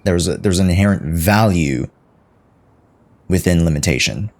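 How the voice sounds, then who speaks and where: A man's voice: low voice, moderate pace. A man doing casual, joking live game commentary as a streamer. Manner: joking, mimics the game's lines.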